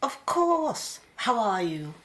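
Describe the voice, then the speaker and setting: A woman playing a calm, thoughtful, attentive doctor in a radio play. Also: on the high side, takes it slowly, exaggerated voice. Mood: concerned.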